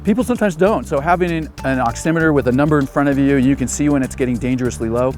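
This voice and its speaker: Fast-talking man explaining, music behind. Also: medium pitch, a little mellow. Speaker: aviation hypoxia expert. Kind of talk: informal explanation from experience.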